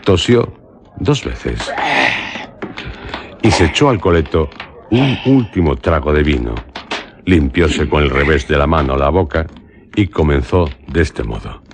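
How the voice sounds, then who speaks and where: A man with an accent from Spain playing an educated traveller who narrates a gothic tale in a solemn, measured, literary radio drama manner. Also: extremely low pitch, lively intonation, noisy background, full character voice. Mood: solemn narration. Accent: Spanish from Spain.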